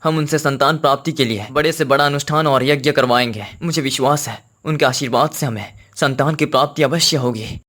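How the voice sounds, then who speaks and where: A man's voice: medium pitch, fairly quick, fairly bright tone, natural voice. A man voicing a devoted, earnest king in a cartoon, with formal, regal speech. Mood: earnest, concerned. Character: devoted, earnest king, husband and worried father.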